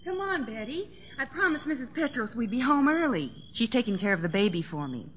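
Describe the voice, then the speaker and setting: A woman's voice: a little high, big pitch swings, fairly quick, a little hiss or hum. Practical young mother, muffled radio. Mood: brisk, good-humored. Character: practical, good-humored young mother and elder sister. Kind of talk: 1940s radio acting. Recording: muffled old radio broadcast.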